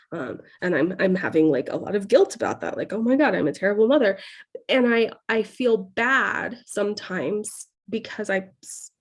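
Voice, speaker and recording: A woman from California, a podcast guest talking openly in a casual interview, hesitant, with many fillers and frequent laughter. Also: mid-range voice. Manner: hesitant, full of "like" and "um", laughing often. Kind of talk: candid casual interview talk.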